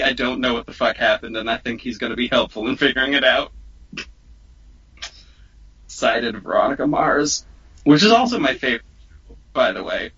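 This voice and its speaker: A man telling a personal story as a podcast guest in a casual, animated, profane, slangy way. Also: medium pitch, husky, dull audio.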